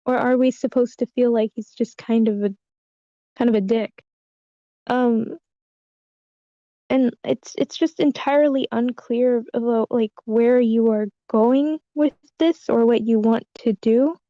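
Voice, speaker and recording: High, bright woman on a dull call. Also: fairly even intonation, unhurried, no room sound. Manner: tentative, giggly, trailing off. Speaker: table-read participant giving script feedback. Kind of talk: casual spoken critique. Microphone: online meeting call. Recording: dull lo-fi online meeting audio.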